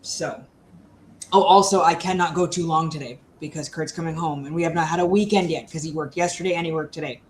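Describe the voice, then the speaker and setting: A woman's voice: low voice, steady tone, a little fast. A woman in her thirties chatting casually and bluntly as she co-hosts a book discussion, with a sardonic streak. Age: 30s. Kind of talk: casual chat, book commentary. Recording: roomy echo, faint background noise.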